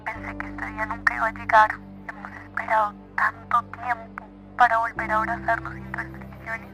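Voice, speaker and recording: A woman's voice: a little high, monotone, moderate pace, music in the background, natural voice, low-quality recording. A woman playing a happy, moved German citizen giving subdued, sincere testimony in a radio news drama.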